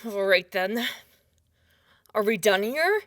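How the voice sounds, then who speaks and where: A woman's voice: mid-range voice, very animated, slow, a bit bright, no room sound, studio quality. A woman voicing an indignant town sheriff who can't believe her reprimand. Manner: indignant, incredulous.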